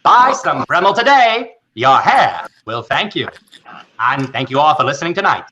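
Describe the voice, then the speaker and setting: Casting: peppy 1940s radio ad announcer, punchy and animated, piercingly bright voice. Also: male voice, on the high side, normal speed, lo-fi sound. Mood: upbeat selling. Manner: punchy, exaggerated salesman delivery. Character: peppy old-time radio announcer pitching hair tonic. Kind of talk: 1940s radio advertisement acting.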